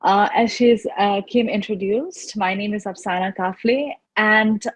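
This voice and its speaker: Slightly husky young Nepali woman. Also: medium pitch, fairly even intonation, measured pace. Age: 20s. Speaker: youth representative and panel speaker. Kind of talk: personal presentation to an online audience. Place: video call.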